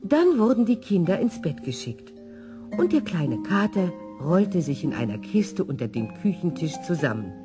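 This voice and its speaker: A woman reading a children's story in an expressive, scripted narrating style. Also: lowish voice, moderate pace, music in the background.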